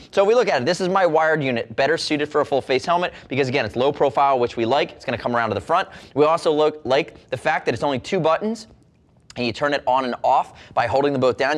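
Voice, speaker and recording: A man hosting a product review, talking in a fluent, casual, direct way with a salesman's touch. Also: medium pitch. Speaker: product reviewer, show host. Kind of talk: product review presentation to camera.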